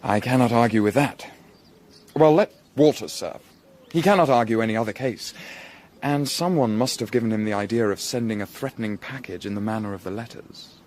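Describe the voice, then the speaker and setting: A man's voice: lowish voice, darkish tone, natural voice. Male actor for young Victorian Englishman, period audio drama. Shrewd, discreet, formal and drily ironic. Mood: dry, assured. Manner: measured, formal, dryly ironic.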